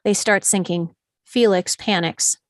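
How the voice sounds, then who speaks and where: A woman's voice: medium pitch, fairly even intonation, a little slow, no echo. Dry-sounding woman narrating a script. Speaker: narrator reading stage directions. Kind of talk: scripted narration, live table read.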